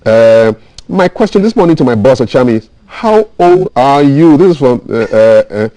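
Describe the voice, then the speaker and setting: This is a Ghanaian man hosting a television show in lively, chatty fashion. His pitch moves around a great deal, while the tone stays mellow and relaxed. The sound is dry and close. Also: moderate pace, no room sound, low-quality recording. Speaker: TV show host. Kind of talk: lively interview chat, reading out viewer messages.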